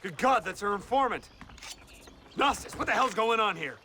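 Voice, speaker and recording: A man playing a flustered, urgent government agent in a video game cutscene, excitable but deferential to his boss. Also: high-pitched, moderate pace, a little hiss or hum, heavily acted. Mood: urgent, alarmed.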